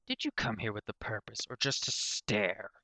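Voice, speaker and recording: A man's voice: on the low side, normal speed, pushed and bright, dry recording. A man voicing an irritated, sardonic unicorn stallion professor in a pony story, curt and mocking in manner.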